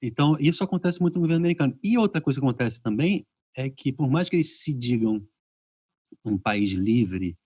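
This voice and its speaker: Animated Brazilian man hosting a video-call chat. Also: mid-range voice, brisk, breathy edge, dry recording. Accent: Brazilian Portuguese. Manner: animated storyteller, acts out reactions. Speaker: podcast host.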